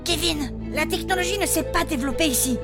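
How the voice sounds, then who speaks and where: A woman's voice: high voice, fast, music in the background. A woman playing a sensible, firm adventuress who keeps her comic fantasy party on task, explaining things articulately. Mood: explanatory. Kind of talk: comic fantasy audio drama acting.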